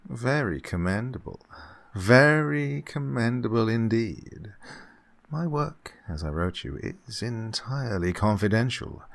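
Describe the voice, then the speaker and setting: An actor playing an unctuous, scheming gentleman employer who feigns kindly absent-mindedness, fussy and repetitive, in an old-time radio horror drama style. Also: mid-range voice, expressive, measured pace, gravelly. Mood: probing, pompous. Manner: fussy, hesitant, repeats himself, chuckling.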